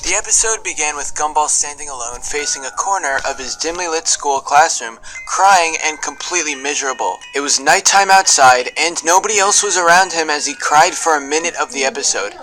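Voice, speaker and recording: A man's voice: a little high, expressive, a little fast, hardly any pauses, sharp tone, rough recording. A man reading scripted narration for an online video, with music behind him. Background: background music.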